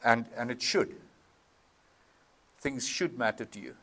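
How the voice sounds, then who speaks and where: A South African man chairing a town meeting, speaking earnestly and haltingly with many ums and switching between Afrikaans and English, into a PA microphone in a hall. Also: mid-range voice, bright, forceful tone, breathy edge. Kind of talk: public address at a town meeting. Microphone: PA microphone with feedback.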